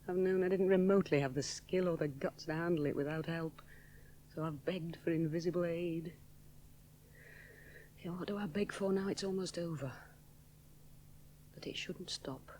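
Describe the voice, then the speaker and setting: A woman's voice: low voice, warm tone, slight background noise. An actress playing a young woman aviator alone over the sea, musing inwardly, often dropping to a whisper. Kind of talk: 1970s radio drama inner monologue.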